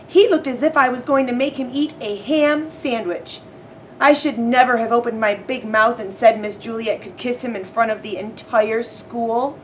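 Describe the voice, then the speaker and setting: A woman's voice: high voice, a little hiss or hum. A woman on a school's staff reading a children's story aloud.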